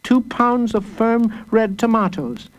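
A man's voice: high voice, expressive, takes it slowly, voice pushed up. A man voicing a polite, cheeky young boy who says his answers twice. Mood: innocent. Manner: repeats his lines twice, sweetly.

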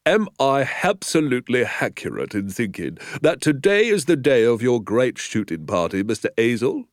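A man's voice: mid-range voice, big pitch swings, moderate pace, no echo, clean studio sound, voice pushed up, natural voice. A man voicing a stern, formal village police sergeant. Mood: probing. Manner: formal, officious, ungrammatical at times.

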